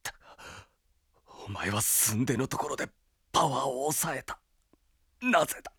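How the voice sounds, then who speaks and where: A man's voice: medium pitch, expressive, moderate pace, a bit bright, husky, no echo, clean studio sound. An actor playing a proud, defiant young male fighter in an anime audio drama, speaking in an intense, gasping, dramatic way. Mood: weak, bewildered. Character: young male Stand user, proud, defiant, battle-hardened rival.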